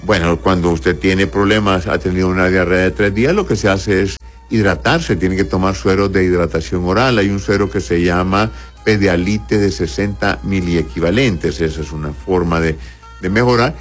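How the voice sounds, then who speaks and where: Deep-voiced Honduran man giving medical advice. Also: normal speed, soft background music. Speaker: doctor answering audience health questions. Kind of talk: informal medical advice, reading questions aloud.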